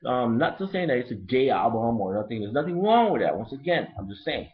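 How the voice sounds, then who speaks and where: A man with a Haitian accent who grew up in Brooklyn, ranting bluntly and profanely in a casual, rambling album review. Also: brisk. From Brooklyn, New York. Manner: profane, blunt and rambling, lively pitch swings. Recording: lo-fi dull recording.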